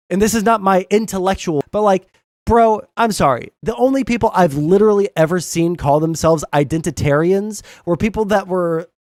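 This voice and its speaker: Southern guy streaming, kinda high voice, dry close sound, rambles and cusses a lot. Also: no room sound. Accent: Southern US (Arkansas). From Southern Arkansas, US. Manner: rambling, blunt, swears, frequent fillers. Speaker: streamer host. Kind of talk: casual live-stream commentary reading chat.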